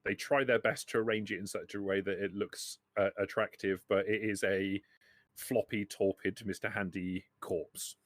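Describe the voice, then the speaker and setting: A man running a tabletop roleplaying game as game master, narrating off the cuff in a halting, deliberate way. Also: lowish voice, steady tone, no echo, clean studio sound.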